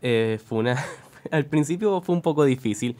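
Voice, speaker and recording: A young man with a Puerto Rican accent answering interview questions in an informal, somewhat halting, conversational way. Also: medium pitch, animated, a little mellow. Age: young adult. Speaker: student audio editor, guest.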